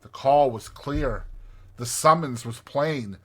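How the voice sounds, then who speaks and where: A man narrating a classic storybook in an expressive, theatrical way. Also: mid-range voice, slow pace. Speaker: audiobook narrator.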